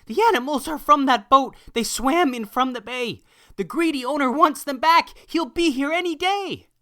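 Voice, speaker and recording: A man's voice: extremely high pitch, moderate pace, fairly bright tone, voice pushed up. A man voicing an eager young male messenger bringing urgent news in a storybook. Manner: breathless, urgent announcing.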